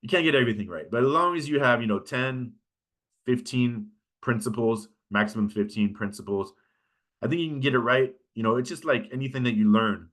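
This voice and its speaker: A man's voice: medium pitch, fairly quick, a bit bright. A man with a Californian American accent giving an informal workshop lecture in a conversational way, often checking in with his listeners. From California, United States. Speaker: instructor giving a workshop on pitching.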